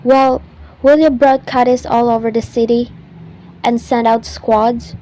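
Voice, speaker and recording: A woman's voice: a little high, level tone, takes it slowly, warm tone, slight background noise, low-quality recording. A woman playing a nervous, demanding bedridden invalid in a melodramatic radio thriller, fretful and haughty in her indignation. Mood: anxious, demanding.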